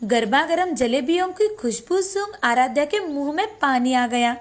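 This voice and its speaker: A woman narrating a children's moral story in a steady, expressive scripted reading. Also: high-pitched, moderate pace.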